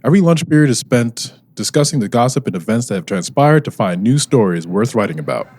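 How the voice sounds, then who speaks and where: A man's voice: mid-range voice, lively intonation, brisk, darkish tone. A man hosting and narrating a scripted radio drama in a theatrical announcer style. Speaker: host and narrator. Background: music bed.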